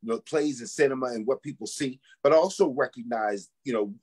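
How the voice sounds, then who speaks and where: A man with a husky, mid-pitched voice talks in a reflective, conversational way. He chooses his words carefully and sounds earnest and measured. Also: darkish tone.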